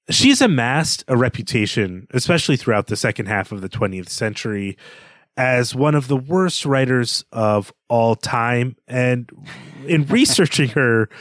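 A man's voice: mid-range voice, no room sound. A man co-hosting a podcast, discussing books in a conversational, analytical way and chuckling as he talks. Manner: analytical, chuckles while talking. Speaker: podcast co-host.

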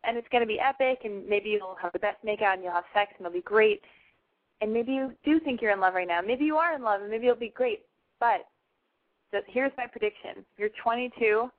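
A woman in her twenties from Los Angeles, hosting a call-in advice show, frank and gushing. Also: a little high. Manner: frank, gushing, talks fast. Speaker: call-in radio advice host. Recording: tinny phone-line sound.

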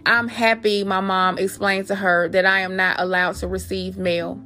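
Female podcast host, medium pitch, familiar address to listeners, personal talk and reading aloud, background music. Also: level tone, moderate pace, bright, forceful tone.

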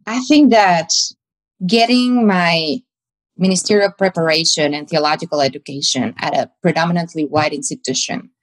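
A woman's voice: on the low side, poor audio. A woman pastor from Mexico with a Mexican Spanish accent, answering interview questions in a reflective way and sometimes searching for words.

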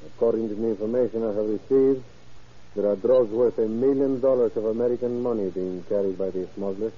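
An actor playing a grave, resolute Mexican army general in a 1930s radio drama. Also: male voice, fairly low pitch, a little fast, lightly acted. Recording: dull, lo-fi old radio recording.

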